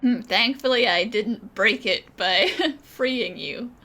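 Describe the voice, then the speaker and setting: A woman's voice: on the high side, takes it slowly, vocal fry, bright, forceful tone, exaggerated voice. A woman voicing a tiny chatty fairy companion in a video game, chirpy, cheeky and informal. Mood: relieved. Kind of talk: scripted video game voice acting.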